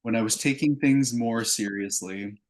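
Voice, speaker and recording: A man's voice: mid-range voice, moderate pace. A Canadian man answering interview questions casually, as a filmmaker, in an earnest, reflective way. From Calgary, Canada. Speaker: filmmaker and actor, interview guest.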